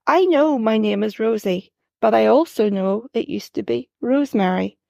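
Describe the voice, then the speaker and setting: Gravelly woman reading her own story. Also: fairly high pitch, normal speed, dry recording, studio quality. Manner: calm, reflective storytelling. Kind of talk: first-person story reading.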